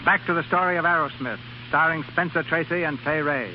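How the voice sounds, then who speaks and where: A man's voice: fairly high pitch, brisk, very noisy. A man hosting and narrating a 1930s radio theater program from a script. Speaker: radio show host and narrator. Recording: muffled 1930s radio recording with music.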